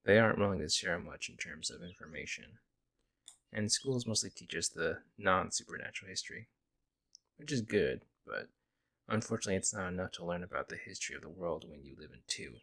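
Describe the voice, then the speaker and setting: A man playing a friendly young werewolf, a lone wolf who talks casually and teases gently in an ASMR audio roleplay. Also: sits low, lively intonation, fairly quick, vocal fry, full character voice. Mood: wistful, resigned. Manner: casual, hesitant musing, gently teasing. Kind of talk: ASMR audio roleplay, intimate conversational acting.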